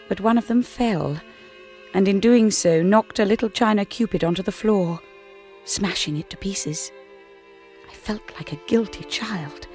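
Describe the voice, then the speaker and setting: A woman's voice: mid-range voice, a little mellow, natural voice. A woman playing a timid, anxious young wife in a radio drama, earnest and apologetic, with a refined English accent. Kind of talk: radio drama dialogue and narration.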